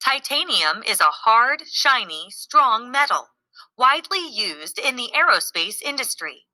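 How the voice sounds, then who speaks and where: A woman reading a scripted educational narration aloud, clearly enunciated and explanatory in manner. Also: on the high side, big pitch swings, a little slow, pushed and bright, no room sound, poor audio.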